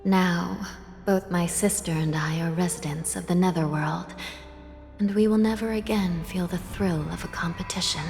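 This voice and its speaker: A soft-spoken video game lady of the netherworld. Her voice sits a little low, reflective and breathy, with measured phrasing. It rings in a long reverb over soft music. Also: steady tone. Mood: wistful. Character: soft-spoken, wistful female resident of the Netherworld.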